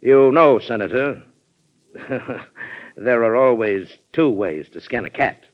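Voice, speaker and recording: Wily colonel, mid pitch, forceful, scheming politician, old radio drama, dull lo-fi recording. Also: male voice, expressive, slow. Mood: shrewd, confident.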